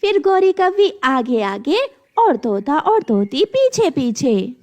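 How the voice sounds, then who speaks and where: Female children's story narrator; high pitch, animated, scripted storytelling. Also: takes it slowly.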